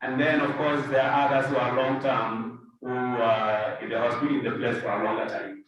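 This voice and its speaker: A man's voice: medium pitch, flat delivery, normal speed, fairly bright tone, muffled recording. A man moderating and asking clarifying questions informally. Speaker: host and moderator. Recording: echoey room, distant microphone.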